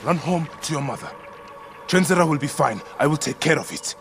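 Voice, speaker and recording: Gravelly, desperate warrior father. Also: a little high, expressive, fairly quick, fairly bright tone. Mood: firm. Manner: intense, emotional delivery. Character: fierce Egyptian warrior father, desperate and protective. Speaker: video game protagonist. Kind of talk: cinematic game voice acting. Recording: echoing reverberant scene under music.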